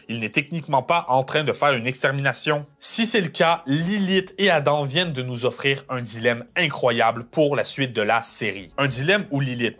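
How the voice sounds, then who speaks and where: A man's voice: medium pitch, a bit bright, breathy edge. A man giving scripted analytical narration as a video essayist, emphatic with colloquial touches.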